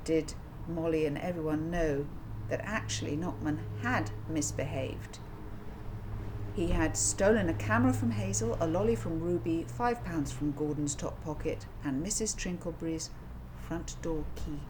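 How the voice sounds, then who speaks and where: A woman reading a children's story aloud as its author, in an expressive, deliberate, theatrical narrating style. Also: sits low, background noise.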